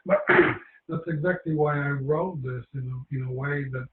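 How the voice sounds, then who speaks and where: A man giving an informal talk about his book, hoarse and losing his voice, rambling with many fillers and restarts. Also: a little high, a little slow, roomy sound, phone-quality sound, noisy background.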